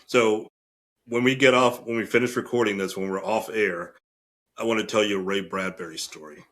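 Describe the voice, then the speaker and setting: Guy hosting an interview podcast, voice a bit low, casual chat. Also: steady tone, moderate pace, a bit bright. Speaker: podcast host.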